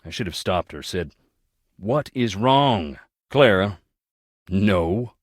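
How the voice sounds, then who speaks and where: Low-voiced, brooding heir of a troubled family. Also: male voice, expressive, slow pace, dry recording, clean studio sound, exaggerated voice. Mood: grave, regretful. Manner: folksy, wry, bitter storytelling. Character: brooding, plain-spoken man of a rich, troubled old family. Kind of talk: video game character dialogue.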